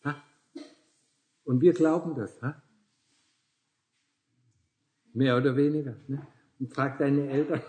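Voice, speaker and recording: A man's voice: medium pitch, steady tone, normal speed, mellow. A man in his sixties talking casually as a Buddhist teacher with visitors, tagging nearly every phrase with a questioning "ne?" and chuckling often. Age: 60s.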